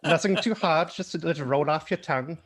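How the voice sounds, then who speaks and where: A man's voice: fairly high pitch, fairly quick, crowd noise. A man on a video call, quipping playfully. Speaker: participant.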